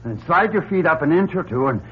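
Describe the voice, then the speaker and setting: A muffled radio drama recording with a slight hum carries a man acting as a determined leader. His voice moves widely in pitch as he gives urgent, step-by-step orders to trapped companions. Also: expressive, brisk, close to an everyday voice. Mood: firm, coaching. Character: resolute man leading trapped companions through dark escape ducts.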